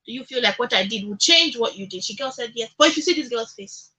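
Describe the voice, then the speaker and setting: A woman's voice: fairly high pitch, expressive, fast talker, sharp tone, breathy edge. A woman in a conversational radio discussion, opinionated, telling stories with quoted dialogue and rhetorical questions. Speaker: radio talk show guest.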